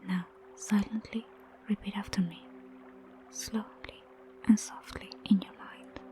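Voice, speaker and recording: Breathy near-whispering woman guiding meditation. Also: medium pitch, fairly even intonation. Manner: gentle, soothing, unhurried with long pauses. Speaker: meditation guide. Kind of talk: guided meditation narration. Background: prominent music.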